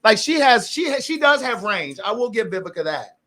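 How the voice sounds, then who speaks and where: A man's voice: sits high, sing-song, a little fast, sharp tone. A man co-hosting a casual chat, chatty, reading out viewer comments and laughing along. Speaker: podcast co-host.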